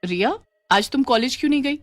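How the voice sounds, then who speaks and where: A woman's voice: high voice, animated, fairly quick, no echo, faint music behind, pitched above the performer's own voice. A woman voicing a timid, scared young female roommate in a cartoon story, nervous and pleading. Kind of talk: Hindi cartoon story acting.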